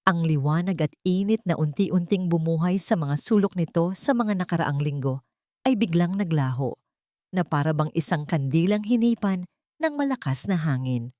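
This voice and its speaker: A woman's voice: lowish voice, animated, moderate pace, a little mellow, dry recording, clean studio sound. A woman with a Filipino accent narrating a sentimental family story in a dramatic, scripted storytelling style.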